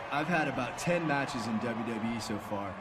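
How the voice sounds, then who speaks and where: A man's voice: mid-range voice, expressive, a little slow, a loud crowd, close to an everyday voice. A man playing a determined, defiant rookie champion wrestler in a video game cutscene. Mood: mocking defiance. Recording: clearly noisy background ambience.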